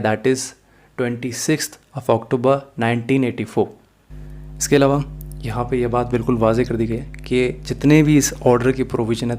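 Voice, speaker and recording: Man, Pakistani accent, mid-pitched even voice, methodical law lecturer, explanatory, English phrases mixed in.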